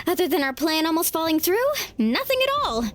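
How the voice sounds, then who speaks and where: A woman's voice: high voice, very animated, normal speed, faint music behind. A woman voicing an upbeat, impulsive teenage adventurer girl in an anime-style video game, breezy and enthusiastic. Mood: excited, breezy.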